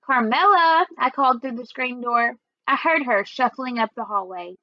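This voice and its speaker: A woman with a high voice reads a children's book aloud as its first-person narrator. Her delivery is expressive and measured. The recording is very dry and slightly dull. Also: dry recording. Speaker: read-aloud storyteller.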